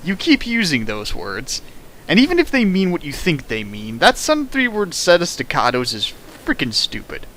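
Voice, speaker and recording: A man's voice: on the high side, huge pitch swings, moderate pace, a bit bright, a little hiss or hum, low-quality recording. A young man reading a bad fanfic aloud and riffing on it with sarcastic, exasperated rants. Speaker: fanfic riffer. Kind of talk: comedic dramatic reading and riffing.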